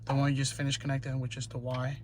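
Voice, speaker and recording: A man giving an unscripted hands-on how-to, explaining step by step in a halting, repetitive way as a tutorial presenter. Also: medium pitch, completely flat delivery. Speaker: DIY car tutorial presenter. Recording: noisy amateur recording with clicking.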